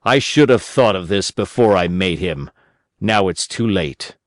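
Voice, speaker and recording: Storybook old father, a woodcarver played by a male narrator: exasperated, exclamatory, very animated pitch, dry close sound. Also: a little slow, dry recording. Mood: rueful. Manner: exclamatory, scolding and lamenting. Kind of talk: storybook character voice in audiobook.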